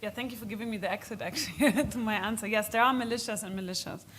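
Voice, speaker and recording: A woman's voice: mid-range voice, fast. Woman expert speaking over background noise. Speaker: expert speaker answering audience questions. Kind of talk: unscripted talk and Q&A at a policy event.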